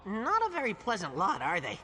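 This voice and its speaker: Nervous little helper robot, high and all over the place, music and echoey reverb. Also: male voice, wildly swooping pitch, a little fast, bright, forceful tone, a little hiss or hum, exaggerated voice. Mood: eager. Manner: fussy, jittery, eager to please. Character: nervous, eager little male caretaker robot.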